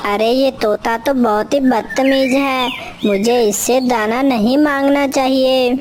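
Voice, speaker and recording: A woman voicing a lazy, hungry male crow in a children's cartoon, wheedling in a slow, pleading way. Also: sits high, fairly even intonation, slight background noise, pitched above the performer's own voice. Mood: put off. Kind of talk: Hindi children's cartoon story dialogue.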